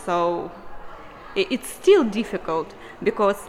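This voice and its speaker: A woman with a Ukrainian accent talks very slowly and hesitantly, pausing with soft hums as she searches for English words. A faint noise runs under her voice. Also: medium pitch, big pitch swings, low-quality recording. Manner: slow, hesitant, with filler hums. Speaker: employability coach and former student.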